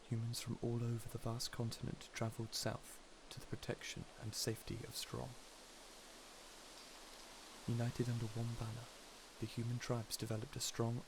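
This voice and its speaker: A man narrating a fantasy story softly, in a measured, soothing ASMR style. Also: mid-range voice, vocal fry. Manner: soft, measured, soothing delivery. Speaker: storyteller and reader. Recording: steady background hiss.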